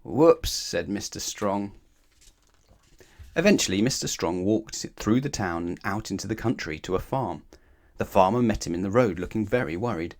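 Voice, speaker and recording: A man reading a children's story aloud in an expressive, animated way, with emphatic phrasing aimed at the listener. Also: lowish voice, normal speed. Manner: animated, asks the listener questions, emphatic repetition.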